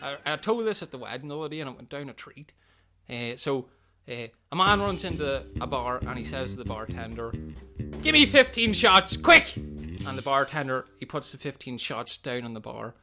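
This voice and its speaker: A man playing a chatty, excitable castaway who tells daft jokes in a comedy audio sketch. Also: mid-range voice, moderate pace, over music. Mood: chatty, jokey.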